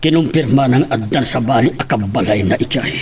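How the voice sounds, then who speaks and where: A man's voice: mid-range voice, brisk, a little hiss or hum, natural voice. A man playing an older, concerned father giving earnest counsel in a melodramatic audio drama. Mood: troubled.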